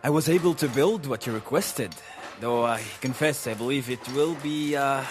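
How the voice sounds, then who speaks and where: An actor playing a courteous, eccentric, wistful inventor in an audio drama, speaking formally and hesitantly. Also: male voice, mid-range voice, moderate pace, slight background noise, natural voice. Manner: formal, hesitant, fussy wording.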